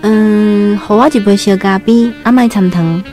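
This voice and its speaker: Mellow, frank working woman, played by an actress. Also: medium pitch, unhurried, soft background music, lightly acted. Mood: polite. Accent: Taiwanese. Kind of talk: radio drama acting.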